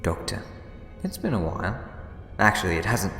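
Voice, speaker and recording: A man performs a smug villain in a sci-fi audio drama. He gloats and wheedles theatrically in a low voice, echoing heavily with music underneath. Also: lively intonation, normal speed, vocal fry, pushed and bright, exaggerated voice. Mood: gloating. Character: gleefully smug scheming male time-traveller villain. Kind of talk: fan audio drama acting. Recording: echoey long reverb, music behind.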